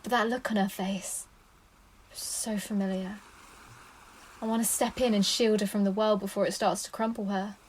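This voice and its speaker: A woman's voice: medium pitch, fairly even intonation, a little hiss or hum, lightly acted. An actress playing an anxious, protective mother, confiding and reflective in a naturalistic audio play. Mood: protective, wounded. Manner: confiding, unhurried.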